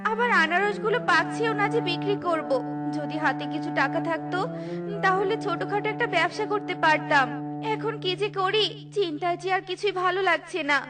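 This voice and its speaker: A woman's voice: very high voice, brisk, loud music behind the voice. A woman voicing a poor, humble and caring mother bird in a cartoon, with an earnest, plaintive manner. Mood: worried. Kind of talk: cartoon voice acting.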